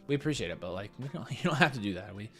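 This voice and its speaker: A man talks casually on a livestream, friendly and reassuring as he hands out advice. His pitch swings widely from phrase to phrase. Soft music plays faintly underneath. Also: very fast. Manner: lively, reassuring, chatty with fillers. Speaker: co-host.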